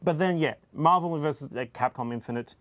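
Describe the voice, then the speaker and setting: A man's voice: fairly high pitch, moderate pace, dry recording. A man co-hosting a casual video game discussion in a conversational way. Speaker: show co-host.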